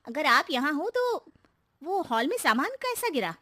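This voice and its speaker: Very high-voiced young daughter-in-law, acted by a woman. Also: sing-song, a little slow, sharp tone, exaggerated voice. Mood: chiding, puzzled. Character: young daughter-in-law, fond, exasperated, timid. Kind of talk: children's story cartoon acting.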